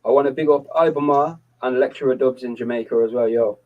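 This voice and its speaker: Man, podcast host, mid-pitched, casual chat, slightly lo-fi call sound. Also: fast. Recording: slightly dull online video call audio.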